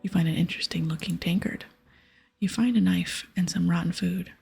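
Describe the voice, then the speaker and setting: A woman doing ASMR game commentary, reading aloud and chatting casually in a breathy whisper. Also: fairly low pitch, fairly even intonation, a little fast, a little mellow, soft background music.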